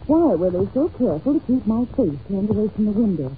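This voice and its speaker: A woman's voice: medium pitch, big pitch swings, fast, natural voice. A woman playing an anxious, polite young governess in an old-time radio drama, speaking earnestly and hesitantly on a muffled, hissy recording. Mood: confiding. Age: young adult. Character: anxious, well-mannered young governess confiding in a detective.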